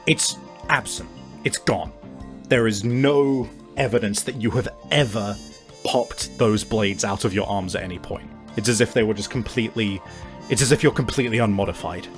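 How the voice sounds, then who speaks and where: A man improvising tabletop game narration as game master, descriptive and animated, often halting to find his wording. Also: on the low side, faint music behind.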